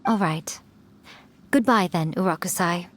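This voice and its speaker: A woman's voice: fairly low pitch, a little slow, slight background noise, natural voice. A woman voicing a smug, centuries-old female fox spirit in a video game, coy, teasing and self-assured. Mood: gentle.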